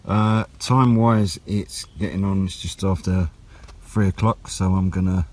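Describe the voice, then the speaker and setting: A British man chatting casually in a vlog, with a rambling, unhurried, low-key way of talking. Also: fairly even intonation, a little mellow. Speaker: radio hobbyist vlogger. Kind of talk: casual outdoor vlog chat. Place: outdoors on wooded high ground by a road. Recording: outdoor noise with passing vehicles.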